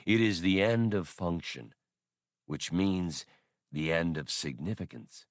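A man reading an audiobook, voicing an old male labor droid who speaks in a polite, formal, slow drawl. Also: low-pitched, expressive, no echo, clean studio sound. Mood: calm. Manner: formal, deferential.

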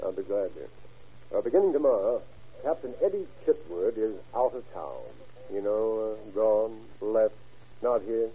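Husky American police captain. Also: male voice, fairly low pitch, animated, a little slow, warm tone, exaggerated voice. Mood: confident, jovial. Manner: folksy, assured, colloquial. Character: confident, easygoing police captain with a daring streak. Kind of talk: old-time radio crime drama acting. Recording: narrow, tinny vintage radio broadcast.

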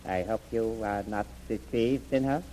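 An actor playing a courteous but suspicious gentleman in an old-time radio drama, measured and formal in delivery. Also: lowish voice, close to an everyday voice. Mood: skeptical. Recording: faintly noisy vintage radio recording.

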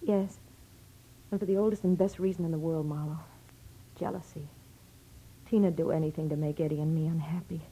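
Anxious, dark-toned, husky radio sweetheart. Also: female voice, low voice, fairly even intonation, fairly quick, long pauses. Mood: bitter. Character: anxious, lovestruck girlfriend of a client in detective drama. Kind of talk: 1940s radio drama acting. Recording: dull-toned old radio drama.

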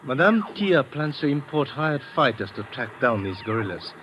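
An actor playing a calm, resigned captive henchman with formal, careful speech in an old radio drama. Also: mid-range voice, moderate pace, muffled recording, natural voice. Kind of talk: 1950s radio drama acting. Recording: noisy vintage radio recording.

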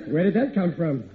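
Blustering husband, tinny old radio. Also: male voice, fairly high pitch, heavily acted. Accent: American. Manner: stammering, blustering. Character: blustering, sheepish working-class husband, easily flustered. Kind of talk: 1940s American radio sitcom acting. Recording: tinny, noisy vintage radio recording.

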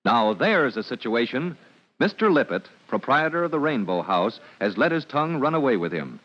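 A man's voice: mid-range voice, big pitch swings, normal speed. A man announcing an old-time radio serial, reading scripted narration in a dramatic, emphatic manner. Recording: dull vintage radio recording.

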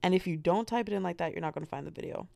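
Chatty American woman with a gravelly voice. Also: fairly low pitch, rapid-fire, dark tone, no echo, studio quality. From United States. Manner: rambling, self-deprecating, giggly. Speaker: podcast host. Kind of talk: solo podcast chat.

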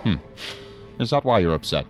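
A man's voice: lowish voice, big pitch swings, normal speed, over music. A man playing an unflappable, dryly defiant assistant director in a science fiction audio drama, formal and authoritative.

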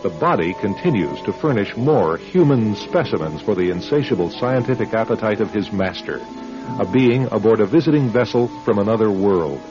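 Low-voiced dramatic radio narrator, a man. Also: expressive. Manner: measured, dramatic announcer delivery. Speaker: host and narrator. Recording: dull radio recording, music behind.

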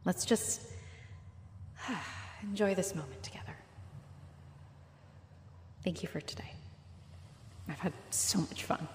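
A woman playing a friendly, flirtatious halfling orc adventurer in a roleplay audio, speaking straight to the listener in a warm, playful, expressive way. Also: fairly low pitch, slow pace, pauses often, a little hiss or hum. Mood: tender, shy.

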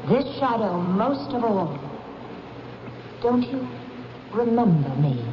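A woman's voice: a little high, very animated, takes it slowly, slight background noise. An actress playing a solemn, commanding ghostly spirit in a 1970s radio drama, formal and reproachful, with a ghostly echo on her voice. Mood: reproachful, insistent. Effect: ghostly echo reverb. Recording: dull radio broadcast, long reverb, music underneath.

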